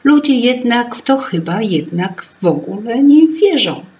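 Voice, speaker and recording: Woman acting storybook memory sprite, female, self-important, bustling, musing, slow delivery. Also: fairly high pitch, expressive, a little mellow, dull audio, voice pushed up. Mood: wistful. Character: proud, brisk, chattering female keeper of memory, fairy-tale sprite.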